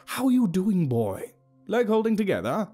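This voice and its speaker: Storybook elder, played by a male narrator: grand and cheerful, raised pitch, slow ceremonial oratory, music underneath. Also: wildly swooping pitch, warm tone, breathy edge, full character voice. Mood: jovial. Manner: slow, oratorical, emphatic delivery. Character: grand, cheerful old leader addressing his gathered tribe.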